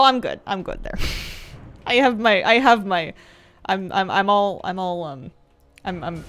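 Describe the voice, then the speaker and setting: A woman in her thirties chatting casually while she plays a game, rambling and halting with restarts and soft laughs. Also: lowish voice. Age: 30s. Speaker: streamer. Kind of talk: casual chat while gaming.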